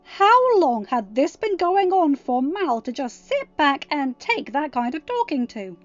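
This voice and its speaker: High-voiced woman reading a story. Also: big pitch swings, unhurried, lo-fi sound, faint music behind. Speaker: podfic reader. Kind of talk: expressive scripted story narration.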